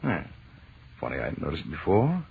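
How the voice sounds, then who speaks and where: An actor playing a brusque, excitable professor in 1930s radio style, with impatient, declamatory delivery. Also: male voice, very deep voice, a little fast, creaky, full character voice. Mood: absorbed. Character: brusque, excitable male professor, scornful of superstition. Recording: dull, noisy old 1930s radio recording.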